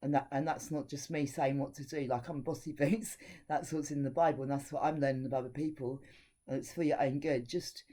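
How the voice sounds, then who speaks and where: A woman's voice: extremely low pitch, fairly even intonation, a little fast, dark tone. A woman giving an informal devotional teaching, reading scripture aloud and speaking in an earnest, preachy way. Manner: earnest and preachy, with frank opinions.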